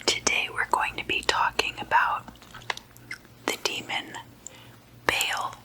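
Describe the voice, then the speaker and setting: A woman hosting ASMR, speaking informally in a soft, breathy, unvoiced whisper with a deliberate, gently playful manner. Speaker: ASMR video host.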